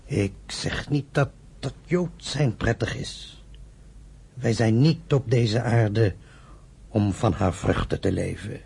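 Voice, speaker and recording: A stern patriarch of a radio play, devout and solemn. His voice is low and very husky, and he admonishes in slow, measured phrases. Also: darkish tone, full character voice. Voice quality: husky, gravelly. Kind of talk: radio drama acting.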